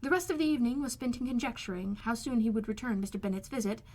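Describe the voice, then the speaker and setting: A woman's voice: fairly high pitch, fast talker, a little mellow. A woman reading a classic novel aloud as scripted audiobook narration. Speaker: amateur audiobook reader.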